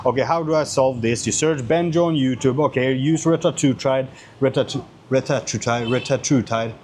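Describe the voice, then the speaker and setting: Man, Swedish accent, mid-pitched voice, casual vlog Q&A, blunt and sweary, repeats himself, faint ambient noise behind. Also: normal speed, a little mellow. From Sweden. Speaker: YouTuber. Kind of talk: casual question-and-answer vlog talk.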